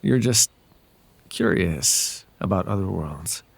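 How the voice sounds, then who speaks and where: Casting: male actor as flirtatious fae wanderer for ASMR roleplay. Husky, animated delivery, gentle and courteous with playful hesitation. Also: low voice, slow pace, heavily acted. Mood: teasing, mysterious.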